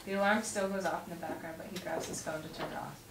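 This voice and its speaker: A woman's voice: on the low side, steady tone, quick pace. A young woman reading a script aloud and chatting casually at a table read. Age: young adult. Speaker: cast member reading stage directions at a table read. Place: home dining room. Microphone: far camera. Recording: distant mic, echoey room, loud noise.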